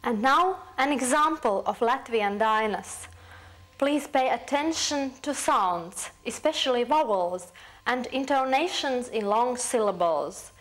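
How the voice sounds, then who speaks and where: A young woman explains on camera, recorded indoors on a lapel microphone. Her voice sits a bit high, and she speaks slowly and carefully, one point at a time. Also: lively intonation, a bit bright. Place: indoor room. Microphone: lapel mic.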